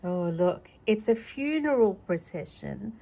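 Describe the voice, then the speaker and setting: A woman's voice: mid-range voice, sing-song, unhurried, vocal fry, mellow, slight background noise. A woman reading a picture book aloud to a child, playfully exclaiming and chatting about the pictures. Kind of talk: animated read-aloud with chatty asides.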